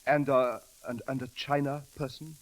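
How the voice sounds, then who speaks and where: An actor playing a timid, deferential English manservant in an old radio comedy, speaking formally and hesitantly with an English accent. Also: male voice, medium pitch, fairly even intonation, normal speed, lightly acted. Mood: polite, unsure. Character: proper, timid, deferential English manservant, middle-aged gentleman. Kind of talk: 1930s-40s radio comedy acting. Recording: vintage radio recording with sizzling crackle.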